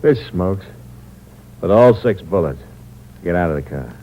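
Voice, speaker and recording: An actor playing a cold, hard-boiled impostor who narrates the story in a terse, clipped manner, in a 1950s radio drama. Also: male voice, low voice, lively intonation, measured pace. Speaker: narrator and lead. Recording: muffled old radio recording with hiss.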